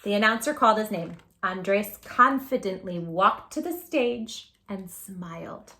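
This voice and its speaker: A woman hosting a children's read-aloud, expressive and encouraging, emphasising words and chatting directly to young listeners. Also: medium pitch, unhurried. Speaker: storybook reader, channel host.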